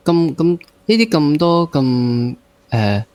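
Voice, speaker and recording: A man with a Hong Kong Cantonese accent hosting a casual interview, repeating phrases haltingly as he forms his questions. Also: on the high side, sing-song, a little mellow. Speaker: interview host.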